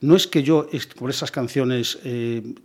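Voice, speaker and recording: A man's voice: medium pitch, measured pace. A middle-aged man from Galicia, talking expansively as a guest in a casual radio interview, often tagging his phrases with "eh?" and repeated yeses. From Galicia, Spain. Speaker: guest, police commissioner.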